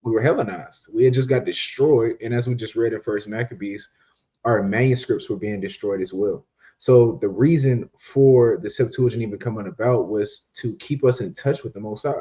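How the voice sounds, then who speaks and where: A man's voice: medium pitch, a little mellow, highs cut off. A man co-teaching a bible study, explaining history at length from his notes. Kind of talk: casual teaching talk.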